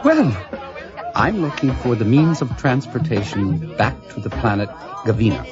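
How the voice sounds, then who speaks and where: A man's voice: mid-range voice, sing-song, moderate pace, natural voice. A man playing a polite, bewildered alien visitor in an audio drama, speaking formally and hesitantly. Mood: earnest, puzzled. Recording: dull lo-fi, music and party crowd behind.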